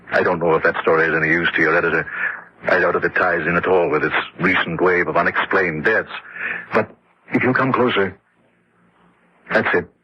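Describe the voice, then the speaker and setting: A sinister widower with a very husky, low voice, spins a horror tale with sly, deliberate menace. It sounds like an old radio play, muffled and lo-fi. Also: male voice. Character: sinister male storyteller, widower turned secret vampire, quietly menacing. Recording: dull, lo-fi old radio recording.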